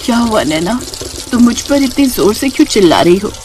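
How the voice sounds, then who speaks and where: Woman acting a gentle, dutiful daughter-in-law. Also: a little high, sing-song, fairly quick, slight background noise. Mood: weary, apologetic. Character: gentle, dutiful young daughter-in-law, patient but self-respecting.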